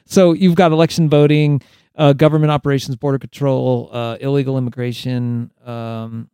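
A man's voice: medium pitch, flat delivery, moderate pace, dark tone, clean tone, no room sound, studio quality. A man hosting a podcast in a casual interview, rambling along with frequent restarts and chuckles. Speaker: podcast host.

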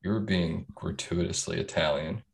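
Man podcast co-host, very deep voice, dry sarcastic banter. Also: steady tone, normal speed, vocal fry, slightly rough. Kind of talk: casual chat.

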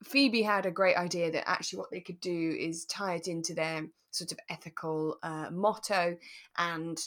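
A woman co-hosting a podcast in relaxed, casual chat, talking in a chatty, thoughtful way with plenty of qualifying. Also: fairly low pitch, normal speed. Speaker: podcast co-host. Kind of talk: relaxed casual chat reviewing a radio drama.

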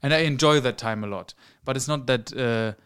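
A man's voice: mid-range voice, fairly bright tone, clear, resonant tone. Eager, agreeable podcast host man. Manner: eager, chimes in with quick agreement. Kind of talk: casual conversation.

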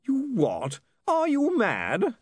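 A man's voice: very high-pitched, wildly swooping pitch, slow, breathy edge, no room sound, clean studio sound, pitched above the performer's own voice, heavily acted. Man playing a rattled, indignant newspaper editor. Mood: incredulous.

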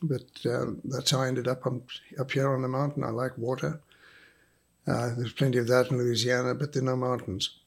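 An older man with a South African accent, a guest telling stories in an unhurried, dry, self-mocking way. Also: medium pitch, little pitch movement, gravelly, breathy edge. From Zimbabwe and South Africa. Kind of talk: casual interview.

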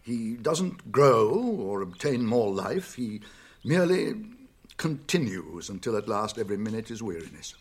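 A British actor playing a wise, kindly old wizard in a radio drama, speaking gravely and deliberately with thoughtful hums. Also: male voice, lowish voice, big pitch swings, heavily acted. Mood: grave, ominous. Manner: deliberate, pausing, murmuring hums and chuckles.

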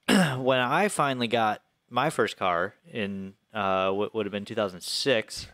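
A man's voice: fairly low pitch, a little slow, fairly bright tone, no room sound, studio quality. A thirty-year-old American man telling personal stories in casual, informal chat with some swearing. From Flint area, Michigan, US.

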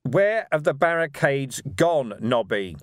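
A male narrator puts on a gruff police sergeant from a comic novel. He is weary and dry, delivering blunt, pragmatic lines with sardonic stresses that rise and fall widely. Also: mid-range voice, sing-song, slow, no room sound, voice pushed up. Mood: stern, impatient.

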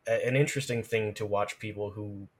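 A man's voice: fairly low pitch, steady tone, brisk. A man chatting casually as a guest, explaining video game play in detailed, analytical fashion. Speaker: podcast guest, gamer.

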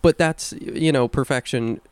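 A man with a low, smooth voice talks games on a podcast, earnest and deliberate with a dry wit. The sound is close and dry, with no room around it. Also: a little mellow, no room sound. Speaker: podcast co-host. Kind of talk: casual chat.

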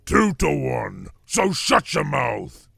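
A man voicing a belligerent, brutish troll with a rough, coarse voice in a storybook reading. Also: mid-range voice, big pitch swings, slow, a bit bright, dry recording, studio quality, exaggerated voice. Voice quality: rough, gravelly. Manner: blustering, coarse rustic speech. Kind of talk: audiobook character voice.

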